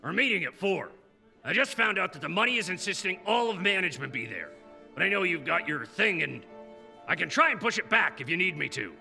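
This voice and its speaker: An actor playing a blustery, gruff newspaper boss with a raspy voice and a brash, emphatic manner in a comic dub. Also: male voice, high voice, big pitch swings, fairly quick, bright, forceful tone, soft background music, exaggerated voice. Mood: brisk, bantering.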